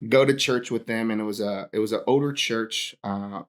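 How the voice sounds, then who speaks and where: A man's voice: on the low side, normal speed. A young man from Hawaii, a pastor and podcast guest, telling stories in a casual chat and laughing often.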